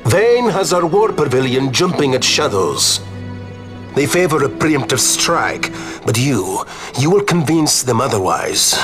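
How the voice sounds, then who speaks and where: An actor playing a suave nobleman in a video game, speaking in an eloquent, formal and measured way. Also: medium pitch, sing-song, over music. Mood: earnest, courtly. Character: suave, courtly male nobleman, earnest and wry. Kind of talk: video game cutscene acting.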